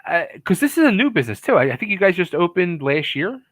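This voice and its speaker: A man hosting a casual restaurant interview show, chatty and animated, with lots of fillers and restarts. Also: high voice, fast talker, no room sound, clean studio sound. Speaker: podcast host.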